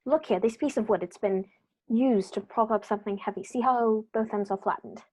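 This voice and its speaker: A woman playing a sharp, confident male detective in a radio play, crisp and brisk as he reasons through the clues. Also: medium pitch, close to an everyday voice. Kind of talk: amateur radio play acting.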